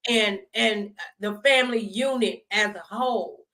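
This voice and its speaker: A woman's voice: mid-range voice, measured pace, fairly bright tone. An American woman hosting a conversational faith interview, speaking in an emphatic, affirming, folksy way. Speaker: talk show host.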